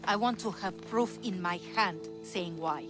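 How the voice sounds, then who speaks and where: An actress voices a young Greek woman from a video game, ambitious and proud. She speaks earnestly with a coy, teasing edge at a medium pitch. Music plays clearly behind her. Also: unhurried, natural voice. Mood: resolute. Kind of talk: video game cutscene acting.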